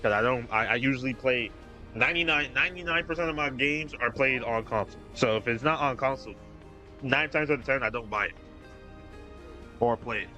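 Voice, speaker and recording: A man's voice: mid-range voice, brisk, sharp tone, soft background music. A man streaming a game, chatting casually with his viewers and rambling through restarts. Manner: rambling, restarts sentences, talks to chat. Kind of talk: casual live-stream chat.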